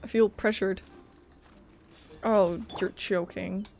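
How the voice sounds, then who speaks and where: A woman's voice: mid-range voice, steady tone, slow pace, creaky, noisy background. A woman streaming a game, reacting casually in a playful, candid, chatty way. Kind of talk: casual gameplay reaction and reading along.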